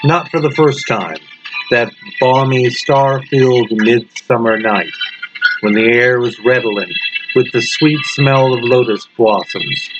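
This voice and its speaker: A man reading a scripted horror story aloud in a deliberate, dramatic manner. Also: mid-range voice. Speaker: story reader. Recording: muffled lo-fi with loud background noise.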